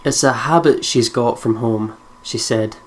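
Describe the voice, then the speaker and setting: A woman's voice: extremely low pitch, measured pace. A Scottish woman hosting a let's play who chats casually, swears freely and reads game text aloud. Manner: chatty, swears freely, laughs often. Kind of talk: casual gameplay commentary and reading aloud.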